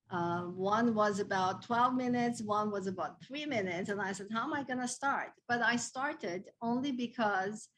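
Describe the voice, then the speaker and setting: A woman's voice: mid-range voice, a bit bright, rough recording. A woman who founded a dance theatre, raised abroad and settled in Canada as a teenager, telling her story on a video call in an earnest, heartfelt way. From Armenian heritage, raised in an Islamic country, moved to Canada as a teenager. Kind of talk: interview storytelling.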